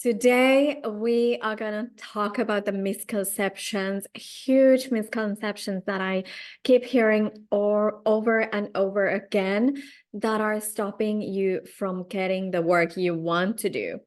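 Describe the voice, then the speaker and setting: A woman's voice: medium pitch, steady tone, darkish tone. A woman from Finland with a Finnish accent, a career coach giving a live-streamed training talk and speaking straight to her viewers in an encouraging way. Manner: unhurried, encouraging, addresses viewers directly.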